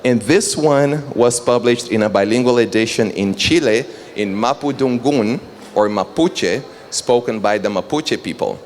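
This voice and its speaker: Man, thirties, panel moderator, eager and earnest, mid-range voice, soft hum behind. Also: medium pitch. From Brazil. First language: Brazilian Portuguese. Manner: earnest, enthusiastic, addresses the audience directly. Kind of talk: public panel talk. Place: panel on stage before an audience.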